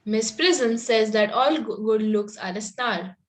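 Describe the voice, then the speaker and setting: A woman's voice: on the high side, unhurried, natural voice. A woman reading the part of a prim, coy young Victorian girl in an amateur table read, a little hesitantly.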